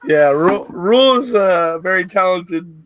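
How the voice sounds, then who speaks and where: A man's voice: sits high, expressive, measured pace, fairly bright tone. A man talking as a guest in a casual chat, easygoing, with lots of "you know" fillers.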